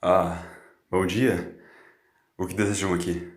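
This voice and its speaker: A man's voice: deep voice, little pitch movement, takes it slowly, voice pushed down. A man voicing a flustered, reluctant male homeowner who speaks in a hesitant, formal, indignant way in an audio story. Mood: puzzled. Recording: echoey, with music behind.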